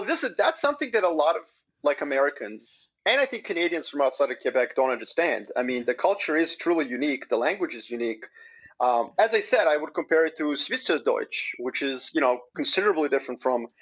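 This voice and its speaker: A man's voice: a little high, a little fast, slightly rough. Upbeat male guest on dull remote audio. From Serbia. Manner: upbeat, animated storyteller. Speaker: guest, investment strategist. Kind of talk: casual interview chat. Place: remote, in Switzerland.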